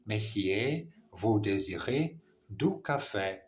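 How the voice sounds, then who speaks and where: A man's voice: lowish voice, lively intonation, takes it slowly. A man practicing French on a phone camera, repeating and correcting himself as he reads dialogue lines aloud. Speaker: language learner. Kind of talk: casual language practice vlog.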